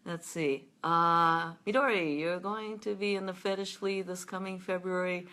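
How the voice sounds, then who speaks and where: Playful, teasing woman, low-pitched with a bright edge, casual live-stream host. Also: fairly even intonation. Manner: playful, teasing, quick asides; slow breathy whisper when demonstrating a seductive voice. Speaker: sex educator hosting a live video chat. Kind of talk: casual chat. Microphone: webcam or laptop mic on a live stream.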